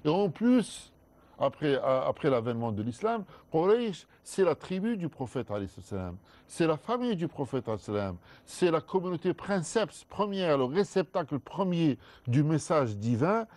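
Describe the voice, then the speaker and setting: A man, a guest scholar in a studio discussion, explaining at length in a measured way with frequent restarts. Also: a little high, big pitch swings, darkish tone, no room sound.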